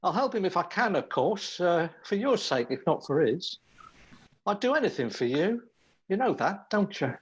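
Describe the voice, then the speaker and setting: A man's voice: sits high, very animated, moderate pace, highs cut off. Detective sergeant, radio drama, played by a man: sincere, sympathetic, varied melody, slight noise. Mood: gentle, regretful. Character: earnest, sympathetic Scotland Yard detective sergeant. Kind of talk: radio play acting. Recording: live-streamed audio, faint noise.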